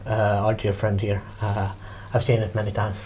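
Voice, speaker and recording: A man improvising as a player in a tabletop roleplaying game, speaking in a measured, understated and halting way. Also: on the low side, level tone, a little mellow. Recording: faint background noise.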